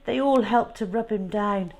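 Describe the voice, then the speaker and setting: A woman narrating a children's storybook expressively, with measured, dramatic delivery that can drop to a hush. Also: medium pitch, low-quality recording.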